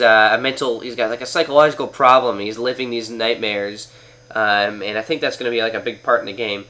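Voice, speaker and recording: A man's voice: medium pitch, bright, forceful tone. A man from Canada with a cold, sounding nasal as he talks through a video game in a rambling, casual way with many fillers. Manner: rambling, lots of fillers and restarts. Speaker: gaming video host. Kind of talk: casual gameplay commentary. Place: at home, playing on a TV.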